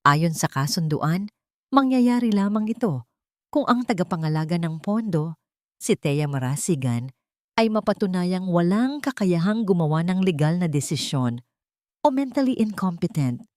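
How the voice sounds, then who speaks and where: A woman's voice: fairly low pitch, very animated, moderate pace, a little mellow, no echo, studio quality. A woman voicing an old male family lawyer, worried and earnest, with a murmured, anxious delivery.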